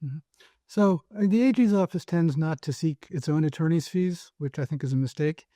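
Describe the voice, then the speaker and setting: A man speaking as a guest lawyer in an interview, giving measured, careful answers with occasional hesitation. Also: on the high side, mellow, dry recording, clean studio sound. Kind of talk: conversational interview.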